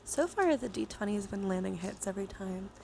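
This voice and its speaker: A woman hosting a casual ASMR-style live stream game, soft-spoken with half-whispered phrase endings and a casually sweary way of talking. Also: medium pitch, animated, brisk, vocal fry, slight background noise.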